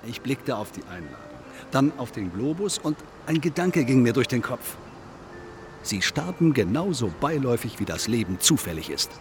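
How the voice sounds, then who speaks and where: An actor in a radio play playing a self-absorbed congress guest who philosophizes in a pompous, long-winded, declamatory way. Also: male voice, medium pitch, big pitch swings, normal speed, slight background noise, close to an everyday voice. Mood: detached musing. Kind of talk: German radio play acting.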